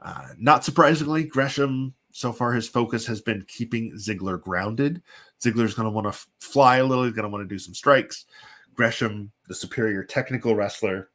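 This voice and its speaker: A man with a congested, sniffly voice from a chest cold, hosting and giving casual, halting play-by-play commentary on simulated wrestling. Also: fairly low pitch, fairly bright tone. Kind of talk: casual live play-by-play commentary with chatty asides.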